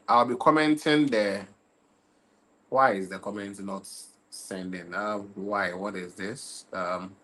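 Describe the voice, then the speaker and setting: A fairly low-voiced young man talks into a desk mic on his own livestream. He sounds tired and relaxed, repeats himself and keeps checking whether viewers can hear him. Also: low-quality recording. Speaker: livestream football talk host. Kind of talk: casual livestream chat.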